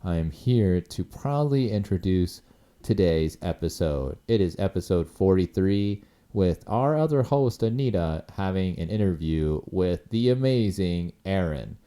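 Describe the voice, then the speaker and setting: A man with a low, smooth voice opens the podcast episode. He sounds mellow and dark, with an upbeat, measured delivery. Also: expressive. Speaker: podcast host and life coach. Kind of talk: scripted show introduction.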